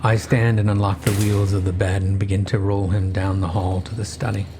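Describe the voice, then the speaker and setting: Very deep-voiced man narrating horror. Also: level tone, background noise. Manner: grave, intense, drawn-out phrasing. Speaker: horror story narrator and writer. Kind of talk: dramatic first-person horror narration.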